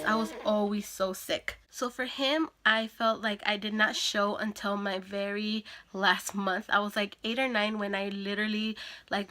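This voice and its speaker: A woman's voice: mid-range voice, pushed and bright. A young woman vlogger with a sore throat and a congested nose, chatting casually to camera with lots of fillers. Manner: chatty, with frequent fillers and laughs.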